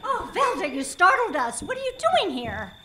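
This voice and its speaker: A woman playing an excitable, earnest heroine who explains mysteries in a dramatic way, in a comic radio play performed live on stage. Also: very high-pitched, normal speed, heavily acted.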